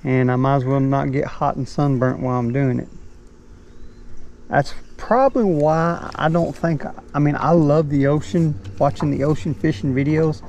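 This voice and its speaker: A man's voice: fairly high pitch, normal speed, a little mellow. A middle-aged man, chatting in a laid-back, folksy way while fishing from a small boat, recorded on a head-mounted camera. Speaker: fishing vlogger. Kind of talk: casual narration while fishing. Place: small boat on a shaded swamp, outdoors. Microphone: head-mounted action camera. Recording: faint outdoor noise.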